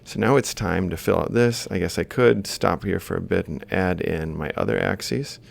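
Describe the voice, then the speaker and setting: A man's voice: low voice, fairly even intonation, moderate pace, gravelly. A man, giving an instructional university lecture and explaining worked examples into a close desk microphone. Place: small book-lined office, indoors. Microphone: large desk microphone close to the mouth.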